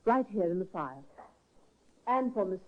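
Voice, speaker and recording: An actress voices a capable nurse who is brisk, polite and a little bossy, her voice swinging widely in pitch. It sounds like an old radio broadcast, tinny and narrow. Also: big pitch swings, close to an everyday voice. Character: brisk capable female nurse running a home. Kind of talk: 1950s radio drama acting.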